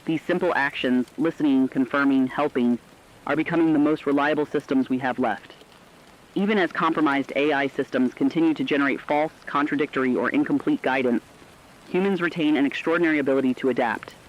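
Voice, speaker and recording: A man playing an earnest radio correspondent, delivering a scripted emergency broadcast in a formal, deliberate way. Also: fairly high pitch, muffled recording, a little hiss or hum, natural voice. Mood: solemn, hopeful. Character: earnest radio correspondent in a world of failing technology. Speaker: broadcast co-anchor.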